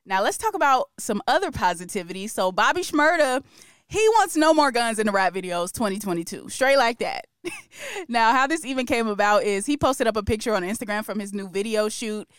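Chatty woman podcast host, crisp and dry. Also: a little high, lively intonation, brisk, no echo. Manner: chatty, opinionated, laughs often. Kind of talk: casual pop-culture podcast chat.

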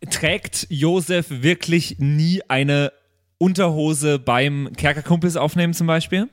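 A male podcast host with a fairly high voice, chatting casually. He is playful and jokey, as if joking around with friends while addressing listeners. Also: takes it slowly. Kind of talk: casual chat, listing thank-yous to supporters.

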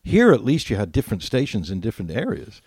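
A man's voice: on the low side, huge pitch swings, fast talker, a little mellow, slightly rough, dry recording, studio quality. An older man telling anecdotes casually as a podcast co-host, acting out bits of dialogue as he reminisces.

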